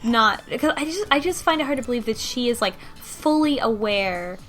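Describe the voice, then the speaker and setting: A woman's voice: a little high, expressive, moderate pace, a bit bright, faint music behind. A woman talking casually in a group discussion about a game, rambling with many restarts and fillers. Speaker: podcast co-host discussing a game.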